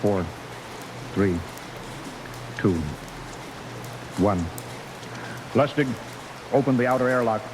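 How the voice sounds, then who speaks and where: A man's voice: mid-range voice, takes it slowly, long pauses, natural voice. Stern male spaceship captain, played by a radio actor, authoritative and clipped, vintage sci-fi drama, noisy rainy recording. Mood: commanding. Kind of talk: 1950s radio drama acting. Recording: noisy old radio recording, rain sounds.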